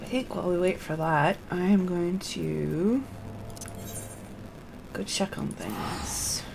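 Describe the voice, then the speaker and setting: Female game streamer, slow delivery, gravelly fry, noisy recording. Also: on the low side. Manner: thinks aloud in short fragments. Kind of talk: live game stream, reading quest dialogue aloud and muttering casually.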